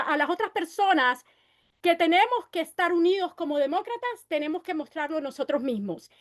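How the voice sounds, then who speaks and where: A woman in her fifties running for city council, giving an impassioned campaign speech at a meeting, moving between Spanish and English and repeating her calls for unity. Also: very high-pitched. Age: 50s. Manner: impassioned, rallying, repeats phrases.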